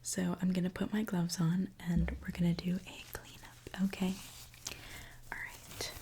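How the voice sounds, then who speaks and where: A woman whispering a gentle ASMR roleplay as a reassuring repair mechanic, talking softly and directly to the listener. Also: lowish voice, little pitch movement. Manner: soft, gentle, reassuring, unhurried. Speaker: ASMR performer playing a robot repair mechanic.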